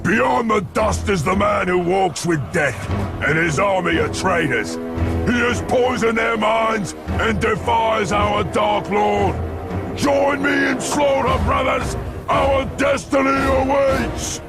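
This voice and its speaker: An actor playing a bellicose orc war chief in a fantasy game, with bloodthirsty, oratorical delivery. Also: male voice, high voice, slow pace, vocal fry, heavily acted. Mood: rousing, bloodthirsty. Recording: loud music behind the voice.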